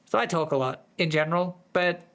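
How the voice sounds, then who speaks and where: A streamer with a voice that is going hoarse, chatting casually to viewers in a distracted, rambling way. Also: mid-range voice, a little fast, fairly bright tone. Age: adult, working full-time. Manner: easily distracted, rambling with many restarts. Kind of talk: casual live-stream chat.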